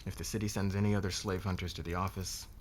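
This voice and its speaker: A man playing a demanding, controlling boss in an ASMR roleplay, speaking in a measured, deliberate, commanding way with a gravelly voice. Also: deep voice, level tone, heavy background noise, close to an everyday voice. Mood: cool, commanding. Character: demanding, controlling male boss, a powerful calm lord.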